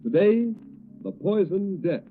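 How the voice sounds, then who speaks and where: Lively, swooping vintage male radio announcer. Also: sits high, slow pace. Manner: declamatory, emphatic. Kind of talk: 1930s radio announcing, scripted sponsor pitch. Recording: tinny, narrow old radio recording.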